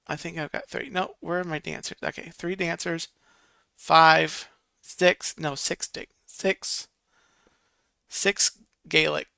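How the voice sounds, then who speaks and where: A man's voice: sits high, gravelly, pushed and bright, clear, resonant tone, dry recording. A man hosting a casual live stream, reading viewer comments and counting votes aloud while muttering to himself.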